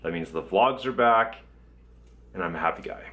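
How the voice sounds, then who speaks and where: A man's voice: sits low, expressive, normal speed, heavy background noise. Chatty man vlogging at arm's length. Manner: upbeat, chatty, teasing. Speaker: family vlogger. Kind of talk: casual vlog chat. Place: inside a family van, then a living room. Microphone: camera held at arm's length.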